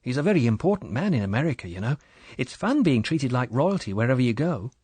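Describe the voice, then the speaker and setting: A man voicing a young, pretty English wife who talks in a soft, chatty, apologetic way. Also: medium pitch, big pitch swings, fast talker, husky, no room sound. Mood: eager.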